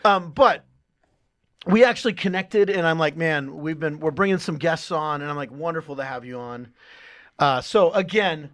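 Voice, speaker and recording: Playful podcast host, a bit high. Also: male voice, moderate pace, a bit bright. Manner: playful, teasing, laughs easily. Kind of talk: casual chat.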